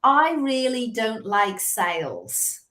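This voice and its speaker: A woman's voice: on the high side, slow pace, slight background noise. Chatty Liverpool-accented woman in her fifties. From England. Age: 50s. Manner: chatty storyteller, lively asides. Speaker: sales coach guest. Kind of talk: casual interview chat. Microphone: video call.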